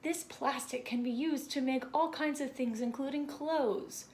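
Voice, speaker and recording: A woman's voice: high-pitched, unhurried, darkish tone. A woman voicing a patient male schoolteacher in a children's storybook, explaining things and prompting with questions. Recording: roomy echo with background noise.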